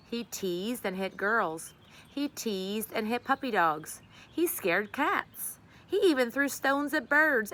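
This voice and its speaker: A woman's voice: medium pitch, fairly bright tone. A woman reading a children's story aloud expressively, like a teacher addressing her class.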